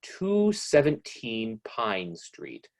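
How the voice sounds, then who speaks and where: Man playing an ominous, slow, low-voiced mystery narrator. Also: wildly swooping pitch, gravelly, full character voice. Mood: ominous, knowing. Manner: deliberate, insinuating. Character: knowing, ominous male narrator who needles the guilty heroine. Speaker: narrator of a radio mystery play. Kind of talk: 1940s radio mystery narration, second person.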